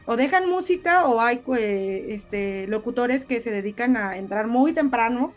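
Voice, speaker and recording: A woman's voice: on the high side, animated, a little slow. A woman co-hosting a casual podcast, talking reflectively and often checking in with short tag questions. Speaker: podcast co-host.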